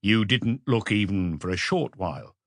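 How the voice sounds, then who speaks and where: A man's voice: fairly low pitch, lively intonation, moderate pace, vocal fry, no echo, voice pushed down. Man reading a terse, probing police questioner, dry sound. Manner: terse, methodical.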